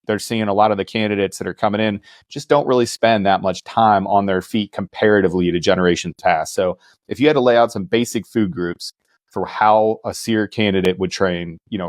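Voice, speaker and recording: A man's voice: deep voice, fairly even intonation, a little fast, darkish tone, no room sound, studio quality. A man hosting a podcast, talking in a wry, sarcastic way straight to his listeners. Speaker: podcast host. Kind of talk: casual podcast interview.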